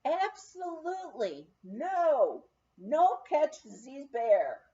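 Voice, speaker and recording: A woman voicing an eager, charming young Frenchman who speaks halting, broken English in a memoir reading. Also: high voice, big pitch swings, mellow, highs cut off, voice pushed up, full character voice. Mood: eager, determined. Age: young adult.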